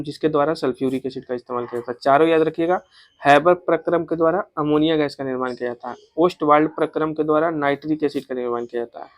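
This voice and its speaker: A man with a Bihari accent and a slightly high voice teaches in an informal coaching style. He poses questions, answers them himself and repeats the facts, dropping in English terms. The sound is dry, with very little room echo. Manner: repetitive, drilling facts with self-posed questions; mixes in English technical terms. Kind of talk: informal exam revision lesson.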